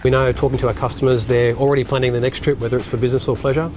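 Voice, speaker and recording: A man speaking for an airline in a measured, corporate manner in a news interview clip. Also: mid-range voice, little pitch movement, a little mellow, faint music behind. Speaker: airline representative.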